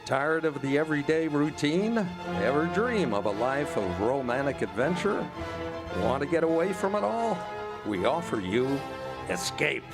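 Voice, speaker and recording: A man's voice: fairly high pitch, sing-song, unhurried. A man announcing in old-time radio drama style, theatrical and portentous. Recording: live stage recording under loud music. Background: music.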